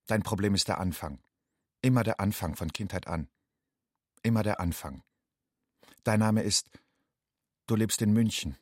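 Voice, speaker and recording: A somber man narrating a radio play. The voice is very deep and husky, grave and incantatory, recorded close and dry. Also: no room sound. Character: somber inner narrator addressing a war refugee as "you".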